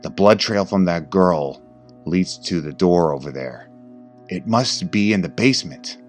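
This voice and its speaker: A man's voice: deep voice, expressive, unhurried, faint music behind, pitched above the performer's own voice. A man doing a stern chain-smoking detective. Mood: whispering. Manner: stern, gruff. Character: tough middle-aged male detective, stern but charming.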